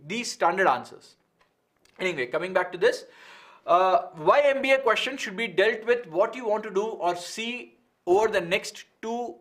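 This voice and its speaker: High-pitched Indian man teaching. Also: a bit bright. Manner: frank, explanatory, emphatic with rhetorical questions. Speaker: exam coaching instructor. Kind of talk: live online lesson, answering viewer questions.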